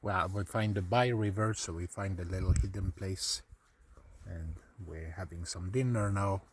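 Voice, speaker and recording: A man's voice: deep voice, steady tone, normal speed. A man from Sweden with a Swedish accent, giving easygoing, loosely phrased commentary on a river trip, recorded outdoors on a smartphone. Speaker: kayaker filming his trip. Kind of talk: casual travel vlog narration. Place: outdoors on a river, wind and water around. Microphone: smartphone mic. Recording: outdoor phone recording with clear background noise.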